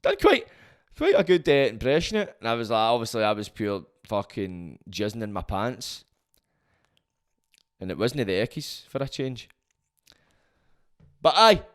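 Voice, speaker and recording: A Glaswegian man hosting his own podcast, rambling and swearing freely in a loud, booming voice. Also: very animated, moderate pace, pauses often. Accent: Scottish, Glaswegian. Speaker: podcast host. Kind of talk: casual monologue.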